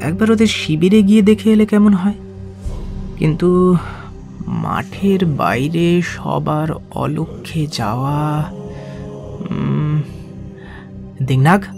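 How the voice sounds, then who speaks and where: Earnest young monk, music behind. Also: male voice, on the high side, slow, gravelly, pauses often. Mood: pondering. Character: earnest, clever young male monk student, determined. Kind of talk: Bengali audio story acting.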